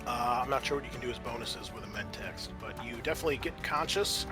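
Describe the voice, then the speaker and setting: A man acting as game master, narrating a tabletop roleplaying game in a halting, self-correcting way. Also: mid-range voice, loud music behind the voice.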